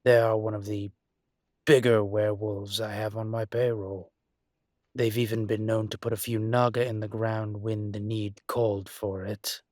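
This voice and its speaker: A man playing a suave, teasing dragon mafia boss in a scripted romantic audio roleplay, speaking deliberately. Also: on the low side, little pitch movement, a little mellow, dry recording, studio quality, lightly acted. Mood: amused, boastful.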